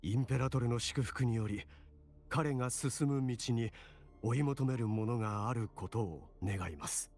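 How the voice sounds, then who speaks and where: A man's voice: medium pitch, quick pace, darkish tone, lightly acted. An actor playing a courteous, devout townsman in a Japanese video game, speaking formally and earnestly over music. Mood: calm, devout. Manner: polite, formal.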